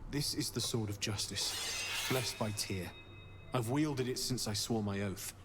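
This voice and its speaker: An actor as a solemn wounded paladin, noisy backdrop. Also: male voice, fairly low pitch, unhurried, close to an everyday voice. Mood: solemn plea. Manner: formal, solemn, urgent. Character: grave, wounded self-proclaimed paladin, pious and vengeful. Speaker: game character voice actor. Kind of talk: dramatic fantasy game dialogue.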